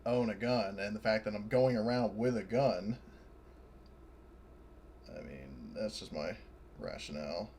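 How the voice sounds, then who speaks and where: A man's voice: fairly low pitch, a little slow, pauses often, darkish tone. A man talking casually as a player during a tabletop roleplaying game. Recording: voice chat with faint noise.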